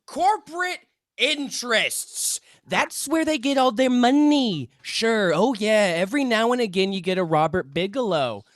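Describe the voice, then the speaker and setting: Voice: medium pitch, lively intonation, a little slow, no echo, clean studio sound, natural voice. A performer in a table read playing Hank, a defensive, paranoid male amateur UFO researcher who speaks emphatically and stammers. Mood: indignant, preachy. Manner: stammering, emphatic, self-serious. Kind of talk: table read of a comedy script.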